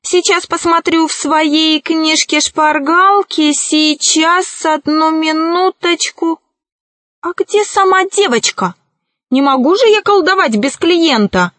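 A woman's voice: sits high, slow, fairly bright tone, dry recording, pitched above the performer's own voice. A woman voicing a cowardly, pompous male sorcerer, plaintive and trembling, in a children's storybook. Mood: flustered. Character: whiny, cowardly, easily offended male sorcerer.

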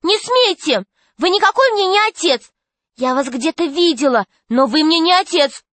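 A woman's voice: extremely high pitch, expressive, moderate pace, fairly bright tone, no echo, voice pushed up. A woman voicing a frightened but defiant young girl in a storybook, exclaiming shrilly and insistently. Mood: frightened defiance.

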